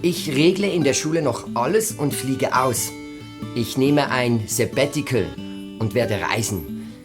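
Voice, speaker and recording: A woman playing a hesitant boy detective with halting speech in a German children's audio drama. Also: rumbling low, animated, over music. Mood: formal, reading aloud.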